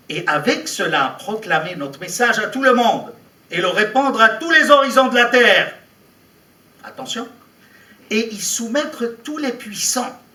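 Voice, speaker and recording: Animated male lecturer in an echoey hall. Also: very high-pitched. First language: Arabic. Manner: animated, ironic asides, rhetorical questions. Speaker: professor lecturing at a conference. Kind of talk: academic lecture reading and commenting on translated texts. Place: large hall. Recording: echoey hall, mic at a distance.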